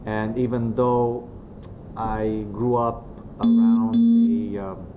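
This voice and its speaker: A man from Romania giving a religious talk to students as a guest speaker. He is soft-spoken and unhurried, and he pauses often and uses many fillers. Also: medium pitch, soft background music. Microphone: venue microphone. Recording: dull lo-fi sound with clear background noise.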